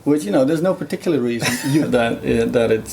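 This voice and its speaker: A man's voice: mid-range voice, animated, fast, vocal fry, darkish tone, slight background noise. A man whose first language is Dutch, explaining research in a thoughtful conversational way, with many fillers and restarts. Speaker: guest researcher.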